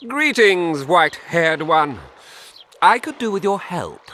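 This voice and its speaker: A highish, sharp-edged male voice for a cautious, calculating fantasy game schemer. His pitch swings dramatically as he speaks with theatrical politeness. Faint ambient noise sits behind him. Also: sing-song, takes it slowly, exaggerated voice. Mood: persuasive. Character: sly, cautious male schemer after a secret formula. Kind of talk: video game voice acting.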